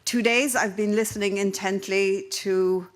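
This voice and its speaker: An Irish-accented woman opens a conference session as its moderator. She speaks in a measured, reflective manner, often pausing partway through a phrase. Her pitch sits in the middle range for a woman. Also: steady tone. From Ireland. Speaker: panel moderator. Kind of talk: introductory remarks at a conference.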